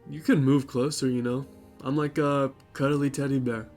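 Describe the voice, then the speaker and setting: A young man playing a laid-back, sweet college guy in an audio drama, talking casually and low-key. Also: level tone, normal speed, darkish tone, soft background music, lightly acted. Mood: gentle, teasing. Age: young adult.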